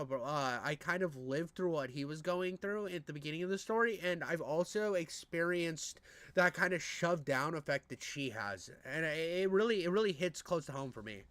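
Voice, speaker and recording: A man streaming casual commentary in a high, nasal voice. He rambles, doubles back on his words and pokes crude fun at himself. The sound is close and dry. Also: a bit bright, no echo. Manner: crude, self-deprecating, repeats himself. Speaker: streamer reacting to a video.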